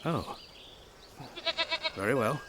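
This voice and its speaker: A man playing a stern knight who guards a young prince, with measured, commanding, old-fashioned speech in an audio drama. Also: mid-range voice, expressive, background noise. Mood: courteous. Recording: loud music under dialogue.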